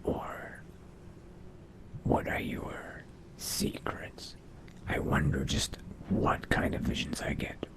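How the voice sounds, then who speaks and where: A man voicing a brooding, hungry vampire mage in a slow, halting, low gravelly whisper, musing to himself in a video game roleplay. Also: lightly acted, low-quality recording. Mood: sated, scheming.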